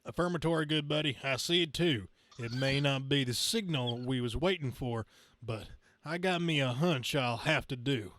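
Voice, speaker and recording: A man's voice: medium pitch, normal speed, fairly bright tone, natural voice. A man voicing a folksy, eager male trucker-style comic-book hero who talks in CB-radio slang. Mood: eager, cocky. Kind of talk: dramatic comic-book reading.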